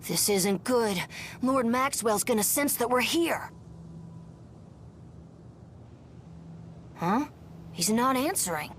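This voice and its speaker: An actress playing a blunt, scrappy young spirit in a video game, talking in a casual, slangy way. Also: female voice, fairly high pitch, animated, measured pace, slight background noise. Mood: alarmed. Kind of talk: animated video game voice acting.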